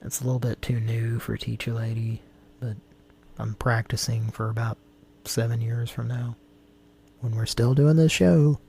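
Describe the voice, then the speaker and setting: A man's voice: medium pitch, fairly even intonation, moderate pace, creaky, mellow, a little hiss or hum. A man hosting a prank-call show, chatting casually in a rambling, jokey and profane way. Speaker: host. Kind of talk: casual chat.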